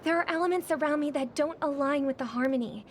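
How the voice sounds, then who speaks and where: An actress playing a kind, graceful young singer in a video game, speaking politely and with composed sincerity. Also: female voice, sits high, fast talker, slight background noise, close to an everyday voice. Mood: apologetic, grave. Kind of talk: video game cutscene acting. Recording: loud music under the voice.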